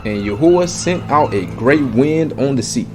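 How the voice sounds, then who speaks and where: A man's voice: mid-range voice, animated, moderate pace. A man narrating scripture in a dramatized, scripted style. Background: music.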